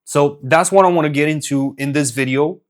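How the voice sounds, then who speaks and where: A man's voice: on the high side, fairly quick. Earnest young man from Romania, coaching. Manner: earnest, repetitive, many fillers and restarts. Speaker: business coach. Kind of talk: casual motivational talk to camera.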